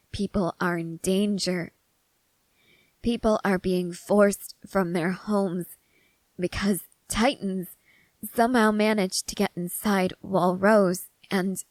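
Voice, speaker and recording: Woman acting out a brooding young soldier girl, asmr roleplay, low-ish even voice, halting and haunted. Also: no room sound, low-quality recording. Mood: troubled, frustrated. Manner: slow, halting, brooding delivery with long pauses. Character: young woman soldier, haunted, restless, grieving her lost family. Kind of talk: intimate ASMR character roleplay.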